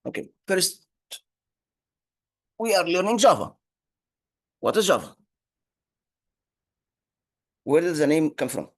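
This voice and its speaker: A man whose first language is Arabic, teaching an online programming class, halting with many fillers and restarts. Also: medium pitch, vocal fry. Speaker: instructor. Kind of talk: online lecture.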